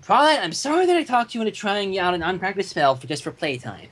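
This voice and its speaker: A man acts out a sassy cartoon pony mare, high and husky. She is exasperated and sarcastic, with a pitch that swings widely as she argues. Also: very animated, quick pace, fairly bright tone, full character voice. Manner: indignant, sarcastic. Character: exasperated, sassy female cartoon ponies bickering.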